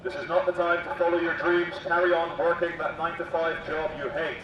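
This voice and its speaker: A man's voice: on the high side, fairly even intonation, fairly quick, a bit bright, slight background noise, pitched above the performer's own voice. A man playing a mock authoritarian city announcer through a megaphone, preaching obedience in a satirical public address. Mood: mock-official, preachy. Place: outdoor city plaza. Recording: echoing megaphone outdoors, far off, muffled.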